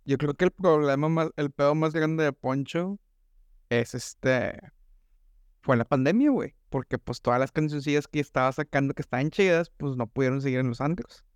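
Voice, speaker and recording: Casting: young Monterrey man, podcast co-host, smooth clear voice, rambling and slangy, dry close mic. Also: a little high, normal speed, no echo. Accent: northern Mexican (Monterrey). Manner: slangy, rambling, says "güey" constantly. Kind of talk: casual chat.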